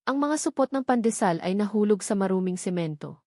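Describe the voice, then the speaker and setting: Female storyteller for scripted romance narration, mid-pitched, measured and descriptive, dry studio-like sound. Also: expressive, darkish tone, dry recording.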